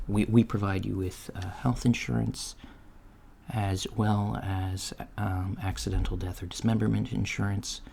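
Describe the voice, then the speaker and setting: A soft-spoken man playing a polite job interviewer in an improvised ASMR roleplay, talking in a halting, hesitant way. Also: on the low side, vocal fry. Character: polite, businesslike alien-store manager interviewing a job applicant. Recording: lo-fi with steady background noise. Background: computer keyboard typing.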